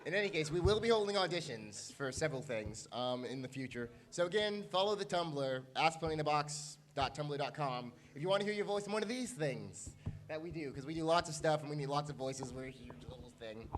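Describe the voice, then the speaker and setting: A man's voice: on the high side, expressive, fairly quick. A man on a convention panel making informal announcements to the audience. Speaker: panelist and project spokesman. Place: convention panel room, indoors.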